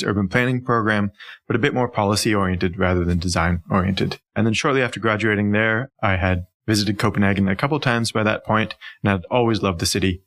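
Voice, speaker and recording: A man's voice: lowish voice, fairly bright tone. A man with a Canadian accent answering as a podcast guest in an articulate, conversational, explanatory way. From Ontario, Canada. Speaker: interview guest, urban planning professional.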